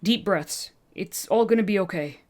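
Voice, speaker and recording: A woman's voice: medium pitch, normal speed, a little mellow, natural voice. Woman voicing a protective young friend. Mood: soothing. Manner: pleading, then soothing and coaxing. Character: the listener's character, a protective young adult.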